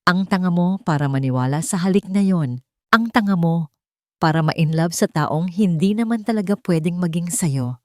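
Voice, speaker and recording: A woman's voice: fairly low pitch, normal speed, a little mellow, no echo, lightly acted. A woman voicing a heartbroken young female employee talking to herself in a romance story. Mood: hurt. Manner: self-scolding, trembling inner talk.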